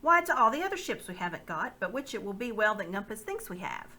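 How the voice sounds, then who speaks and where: A woman's voice: medium pitch, sing-song, fast, pushed and bright, a little hiss or hum. A woman reading the part of a loyal middle-aged nobleman, speaking earnestly and courteously.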